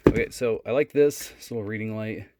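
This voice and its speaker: A man giving a casual, unscripted product demonstration in a low-key, halting way. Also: heavy background noise. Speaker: product reviewer.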